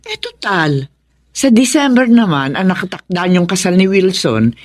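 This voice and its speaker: A sensible mother in a Filipino radio drama. Her voice is fairly low for a woman, with animated rises and falls. She advises and reasons. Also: normal speed. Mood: advising. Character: sensible, moral mother of grown daughters.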